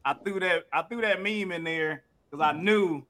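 A man hosting a casual podcast chat, playfully prodding his guests in slangy, animated talk. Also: sits high, normal speed. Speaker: podcast host.

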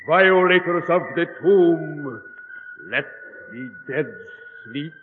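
An actor in a 1940s radio drama playing a menacing unseen tomb guardian, with solemn, archaic pronouncements. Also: male voice, fairly high pitch, animated, slow. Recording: echoing, tinny old radio recording with noise.

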